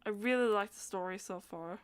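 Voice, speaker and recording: A woman's voice: medium pitch, brisk, clean tone. A woman doing casual live gaming stream commentary, thinking aloud hesitantly and letting phrases trail off.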